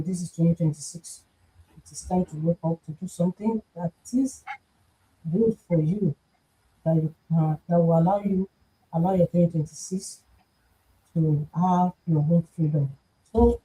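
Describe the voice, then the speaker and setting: A woman's voice: on the low side, mellow, low-quality recording. A woman from Nigeria with a Nigerian accent, introducing herself on a livestream panel in an upbeat, exhorting way. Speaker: panel guest.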